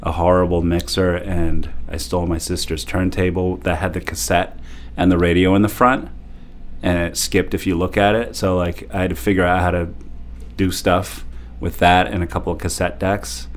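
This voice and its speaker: A man in his forties from Japan, a DJ guest telling stories in an animated, laughing way with lots of fillers. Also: low voice, normal speed, a little hiss or hum. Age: 40s. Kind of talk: casual interview storytelling.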